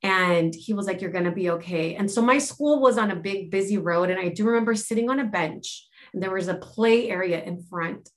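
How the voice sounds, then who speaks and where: A woman's voice: medium pitch, fairly quick, hardly any pauses. A woman from Los Angeles, a life and health mentor, reflectively telling her own story in a podcast interview. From Los Angeles, United States.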